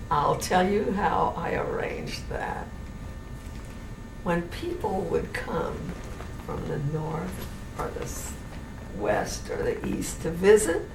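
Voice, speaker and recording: An elderly woman from New Orleans with a general American accent, slowly reminiscing in an interview with many restarts. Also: on the low side, expressive, vocal fry. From New Orleans, USA. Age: older. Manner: slow, meandering, with many restarts. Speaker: interviewee, great-grandmother. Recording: noisy, roomy home recording from a distance.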